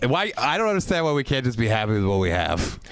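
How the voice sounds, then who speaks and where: Rambling, swearing man, lively pitch. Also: fast talker. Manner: rambling, swears a lot, trails off and restarts. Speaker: podcast co-host. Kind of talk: casual comedic chat.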